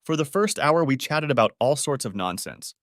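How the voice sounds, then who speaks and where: Guy narrating a first person story, animated pitch, really dry recording. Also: normal speed, dry recording.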